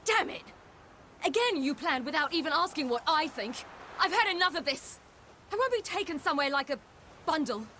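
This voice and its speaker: A woman's voice: sits high, very animated, moderate pace, sharp tone, a little hiss or hum, heavily acted. An actress playing a headstrong, impatient young woman warrior who speaks bluntly and defiantly in a game cutscene. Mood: frustrated. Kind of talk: video game cutscene acting.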